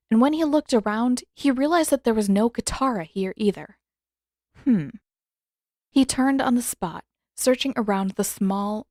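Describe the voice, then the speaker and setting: A woman narrates a story in a medium-pitched voice. It is scripted audiobook reading, recorded dry with very little room sound. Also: a little mellow, dry recording. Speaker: narrator and author reading fan fiction.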